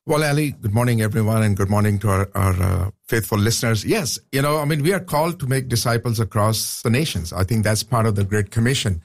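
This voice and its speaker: A man's voice: lowish voice, quick pace, hardly any pauses. A man from Pakistan, a professor talking earnestly as a radio studio guest, often restarting his sentences. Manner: earnest, with frequent restarts and "uh" fillers. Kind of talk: casual radio interview.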